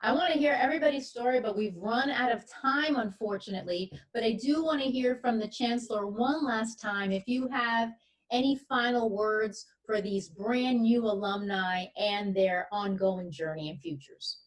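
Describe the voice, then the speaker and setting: A woman's voice: fairly high pitch, moderate pace. A woman interviewing a group, asking encouraging questions. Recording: distant from the mic.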